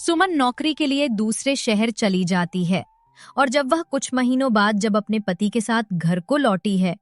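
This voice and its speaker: A woman's voice: fairly high pitch, expressive, unhurried, no room sound. A woman reading scripted narration for a family drama story.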